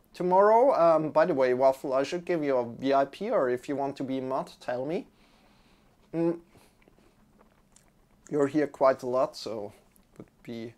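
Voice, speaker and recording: A man's voice: on the high side, darkish tone. A young German man, a yoga teacher, sitting on a mat indoors and chatting casually with livestream viewers, friendly and rambling, restarting his sentences often. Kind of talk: casual chat with live viewers.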